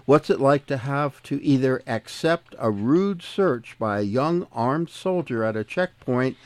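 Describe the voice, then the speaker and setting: A man hosting a panel discussion, introducing topics and guests in a measured, formal way. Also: medium pitch, no echo. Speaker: host.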